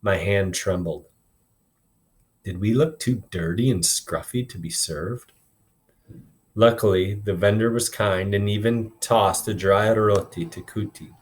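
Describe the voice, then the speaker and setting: A man's voice: fairly low pitch, measured pace. A man reading a story aloud as a teacher, with informal, instructive explanations along the way. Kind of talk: expressive read-aloud narration with explanatory asides.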